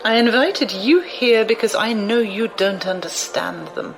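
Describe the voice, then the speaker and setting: A woman's voice: fairly high pitch, expressive, unhurried, slight background noise, poor audio. An actress playing a sly, amused, commanding old noblewoman in an audio drama, with refined, teasing, theatrical delivery. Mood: wry, commanding. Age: older.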